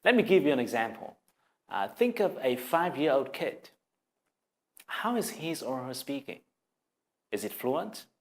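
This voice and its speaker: A man's voice: medium pitch, big pitch swings, measured pace, long pauses. Vietnamese-accented man teaching English. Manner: earnest, encouraging, direct to viewer. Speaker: language teacher. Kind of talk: scripted video lesson.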